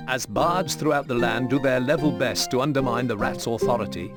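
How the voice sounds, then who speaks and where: A man playing a solemn storyteller, reading scripted game narration in a measured, grave way. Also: mid-range voice, lightly acted. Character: solemn male storyteller narrating medieval war chronicle. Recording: loud music under the narration.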